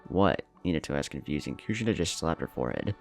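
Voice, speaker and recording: A man's voice: a bass voice, lively intonation, very fast, vocal fry, soft background music. A man narrating a scripted story in a dramatic storytelling manner.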